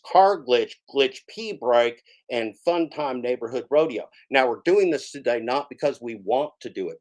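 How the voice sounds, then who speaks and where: A man's voice: mid-range voice, a little mellow. A man hosting a livestream, rambling in a folksy, mock-grandiose way, with lots of filler "uh"s and phrases he repeats for comic effect. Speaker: livestream host.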